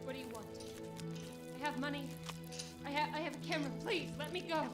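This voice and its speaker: High-voiced young woman acted, earnest drama heroine. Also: fairly quick, close to an everyday voice. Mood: frightened, pleading. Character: earnest young woman, self-described witch, anxious but pleading. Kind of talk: naturalistic audio drama acting. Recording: music behind the voice.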